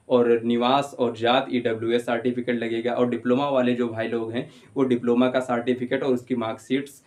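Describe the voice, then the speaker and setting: A man's voice: medium pitch, darkish tone. A young man gives an informal update to viewers, addressing them like brothers and repeating his points reassuringly. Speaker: exam-update video host.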